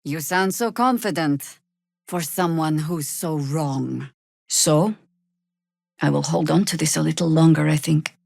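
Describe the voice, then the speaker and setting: An actress playing a world-weary, wry middle-aged veteran druid who leads rebels in a video game, with a dry, measured delivery. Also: female voice, low-pitched, vocal fry, darkish tone, no echo, full character voice. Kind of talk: video game character acting.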